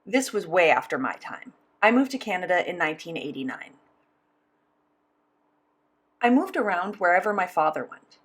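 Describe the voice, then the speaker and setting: A woman voicing a sign language interview as interpreter, speaking in a measured, steady first-person delivery. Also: on the low side, breathy edge.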